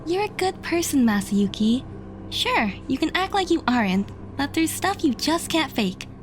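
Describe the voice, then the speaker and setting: A woman playing an earnest, hopeful, caring young woman in an audio drama, sincere and gently insistent. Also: sits high, very animated, moderate pace, slight background noise.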